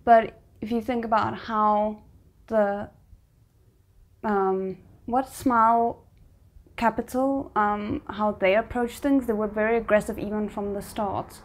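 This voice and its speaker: A woman giving casual, unscripted reaction commentary as she watches a drama series, thinking aloud in an informal, halting way. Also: medium pitch, fairly even intonation. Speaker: reaction video host.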